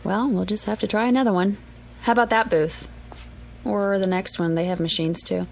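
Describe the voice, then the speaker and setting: A woman's voice: mid-range voice, fairly even intonation, moderate pace, creaky, lightly acted, low-quality recording. An actress playing an affectionate, practical young honeymooning wife in a radio drama, coaxing and reasoning with her husband. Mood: exasperated. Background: music under the voice.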